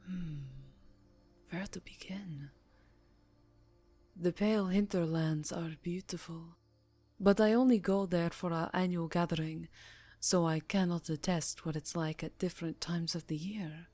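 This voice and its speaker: A woman voicing an elegant, imperious yet tenderly seductive vampire countess in an intimate ASMR roleplay, speaking formally and softly, breathy and close to the mic, drifting into whispers. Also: on the low side, fairly even intonation, a little slow, no echo, soft background music. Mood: indulgent, wistful. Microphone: close microphone.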